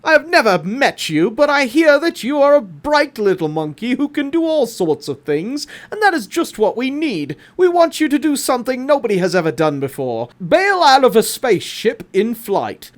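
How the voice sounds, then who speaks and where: A stern professor who runs a museum, acted out by a man reading a storybook. He speaks with a Southern US accent in a pompous, emphatic manner. His pitch swings widely as he makes each pronouncement.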